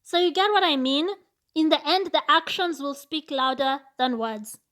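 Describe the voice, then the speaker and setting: This is a young Kenyan woman with a high-pitched voice, talking to her viewers in an advice vlog. She speaks English as a second language, with a clear Kenyan accent, and she makes her points emphatically, often saying them twice. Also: moderate pace, fairly bright tone. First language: not English. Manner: emphatic, repeats lines for effect, acts out quoted people. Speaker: YouTuber.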